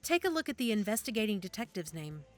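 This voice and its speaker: A woman's voice: mid-range voice, very animated, quick pace, lightly acted. A woman acting in an audio drama as a cautious, helpful medical examiner, with a conversational, slightly hesitant manner. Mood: matter-of-fact. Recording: music under the dialogue.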